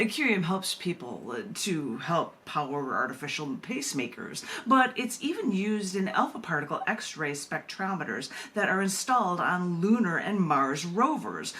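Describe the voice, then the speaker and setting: Bright-voiced woman reading poetry live. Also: lowish voice. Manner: animated, rambling, self-amused. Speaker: poet and open mic host. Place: live open mic reading before listeners.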